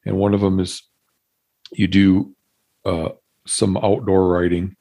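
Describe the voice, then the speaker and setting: A man in his fifties hosting a podcast, asking questions haltingly with many restarts. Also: deep voice, level tone, creaky, warm tone. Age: 50s. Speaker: podcast host. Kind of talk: casual interview.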